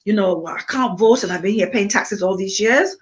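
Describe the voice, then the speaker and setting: A woman from Montserrat with a Montserratian accent, an author being interviewed, speaking thoughtfully with frequent pauses and ums. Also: medium pitch, a crowd in the background. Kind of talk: reflective conversation.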